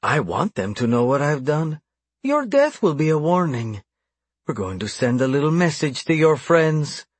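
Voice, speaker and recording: Sadistic crime-boss brother for a thriller audiobook, acted by a male narrator: calm menace, mocking lilt, clean dry sound. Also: mid-range voice, a little slow, slightly rough, dry recording. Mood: threatening. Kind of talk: audiobook dark thriller dialogue.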